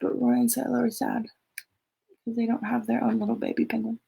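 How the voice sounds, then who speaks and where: A woman reading a picture book aloud to children in an expressive storytelling way, with casual, chatty asides. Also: on the high side, fairly quick, gravelly, a little hiss or hum.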